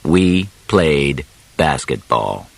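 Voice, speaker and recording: A man narrating an audiobook biography from a script, phrasing deliberately in short, separated chunks. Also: a bass voice, very animated, vocal fry, no echo.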